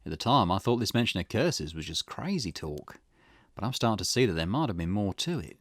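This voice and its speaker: A man acts out a wry game detective in a low voice that swings expressively. He is dry and skeptical, a plain talker who likes to question. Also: big pitch swings, a little fast, no echo. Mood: reflective. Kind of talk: character voice reading visual novel dialogue.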